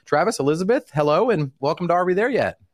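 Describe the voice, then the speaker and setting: A man's voice: high voice, animated, fast talker, no echo, clean studio sound. A man hosting an interview show, talking enthusiastically with frequent fillers and restarts. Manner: enthusiastic, lots of fillers and repeated words. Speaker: host.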